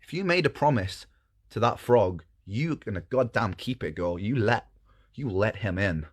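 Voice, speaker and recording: A man's voice: lowish voice, lively intonation, moderate pace, slightly rough. A man voicing a bossy, lazy king, a stern and commanding father in a comic fairy tale.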